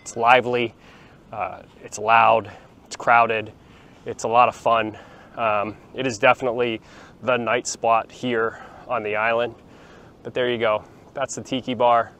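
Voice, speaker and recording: A man's voice: mid-range voice, level tone, moderate pace, fairly bright tone. A man in his forties giving a chatty walking tour to his phone camera outside by the beach, with plenty of ums and restarts. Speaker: vlogger showing a beach town. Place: outdoors, sunny beachfront plaza and beach. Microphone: phone held at arm's length, small clip-on mic.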